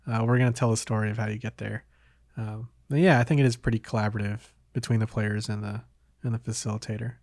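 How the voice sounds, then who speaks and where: A man hosting a podcast, talking casually about games in a hesitant, halting way. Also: lowish voice, fairly even intonation, creaky, a little mellow, no room sound, studio quality. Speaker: podcast host.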